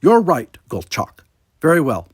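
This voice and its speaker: Man playing a stern, husky-voiced station commander. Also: on the high side, huge pitch swings, no echo, studio quality, voice pushed up, exaggerated voice. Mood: composed. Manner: measured, forceful, emphatic. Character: commanding male station commander, controlled anger, deadly calm.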